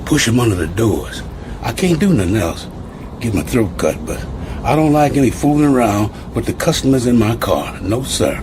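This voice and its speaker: An actor playing a deferential, wary sleeping-car attendant in a radio drama, with folksy speech. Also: male voice, sing-song, normal speed, close to an everyday voice. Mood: wary, warning. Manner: folksy, servile, colloquial speech. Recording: noisy radio drama, train rumble behind.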